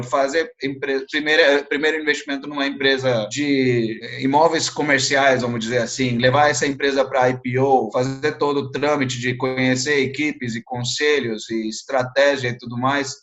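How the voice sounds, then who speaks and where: A man's voice: mid-range voice, fairly bright tone. Indian-accented man speaking Portuguese as a foreigner. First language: not a native Portuguese speaker. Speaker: guest, entrepreneur. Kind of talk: interview, recounting own career.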